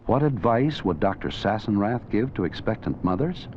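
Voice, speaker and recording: A man's voice: on the low side, lively intonation, moderate pace, darkish tone, slight background noise. A man narrating an educational film from a script, in an earnest, didactic way. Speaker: documentary narrator and presenter. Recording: dull 1980s educational film soundtrack.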